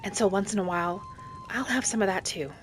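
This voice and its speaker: A woman narrating a fantasy game roleplay in character as a gentle young wood elf, with an unhurried, musing delivery. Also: mid-range voice, soft background music. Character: gentle young female wood elf traveler, earnest and principled.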